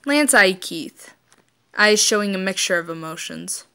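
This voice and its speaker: A woman's voice: mid-range voice, expressive, measured pace, pushed and bright, clean tone. A woman with a mid-range voice speaks as an amateur channel host. She reads fan fiction and talks to listeners in a casual way. Her delivery is informal and unpolished, with occasional stumbles. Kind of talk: reading stories aloud and chatting casually to listeners.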